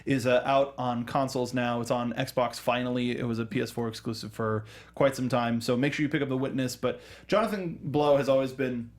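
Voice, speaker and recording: A man's voice: medium pitch, steady tone, brisk, hardly any pauses. A man hosting a casual film and game discussion, talking with a fan's enthusiasm and often restarting sentences mid-thought. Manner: enthusiastic fan talk. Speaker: host of a film review show. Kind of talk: casual panel discussion of films and games.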